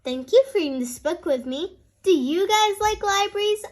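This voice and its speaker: A woman's voice: very high-pitched, animated, moderate pace. A woman storyteller reading aloud expressively to young children. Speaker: storyteller, host.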